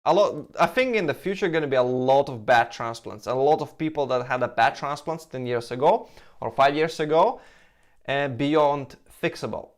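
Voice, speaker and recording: A man from Lithuania, whose first language is Russian, talks in accented English. His pitch sits in a middle range, and his delivery is casual and halting, full of restarts, "like" and "you know". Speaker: hair transplant Q&A host. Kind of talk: casual Q&A answering viewer questions.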